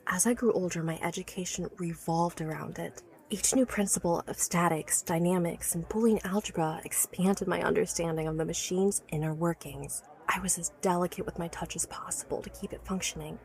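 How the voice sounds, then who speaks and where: A woman's voice: lowish voice, brisk, gravelly, few pauses, darkish tone. A woman playing an obsessive, learned inventor who recounts her life's work earnestly and articulately in an audio drama. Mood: nostalgic, enraptured. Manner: articulate, earnest, stammers when awed by her subject.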